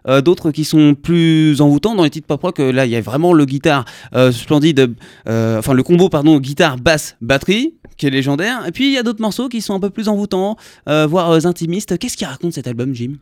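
A man interviewing a musician, asking halting questions full of fillers. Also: a little high, lively intonation, a little mellow, no room sound, studio quality.

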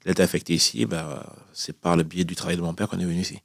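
A man's voice: low voice, steady tone, racing, creaky, dry recording, clean studio sound. A man in his forties from Cameroon, telling his own story as a radio interview guest in a conversational, repetitive way. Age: 40s. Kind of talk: spontaneous personal storytelling in an interview.

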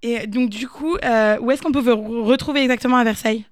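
A woman co-hosts a radio interview, her voice a little high and bright. She asks chatty, friendly questions. The studio sound is dry and close. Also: a little fast, no room sound. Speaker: radio co-host.

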